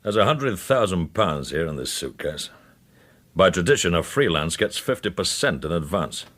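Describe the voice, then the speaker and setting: An actor playing a menacing government agent in a radio drama, interrogating with a deliberate, insinuating and coolly threatening manner. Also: male voice, low-pitched, sing-song, fairly bright tone, heavily acted. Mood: accusing.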